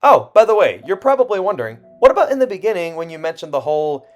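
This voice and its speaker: A man narrating a personal video essay in an expressive way, with self-deprecating asides and dramatic pauses. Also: sits high. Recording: music under the voice. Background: background music.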